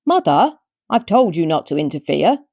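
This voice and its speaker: Woman acting dim adult son, storybook character, mellow, exasperated, put-upon. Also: on the low side, big pitch swings, moderate pace, creaky, heavily acted. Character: slow-witted grown man, exasperated son of a crone.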